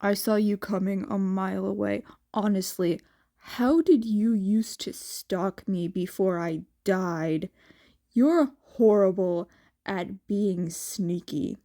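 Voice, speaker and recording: A woman's voice: medium pitch, takes it slowly, dark tone. A woman voicing a sarcastic, exasperated young male crime lord who grumbles in an audiobook story. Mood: scornful.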